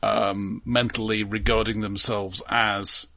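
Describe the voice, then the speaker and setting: A man co-hosting a casual film discussion, explaining points in a measured, informative way. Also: on the low side, flat delivery, gravelly, pushed and bright. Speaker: podcast co-host reviewing films.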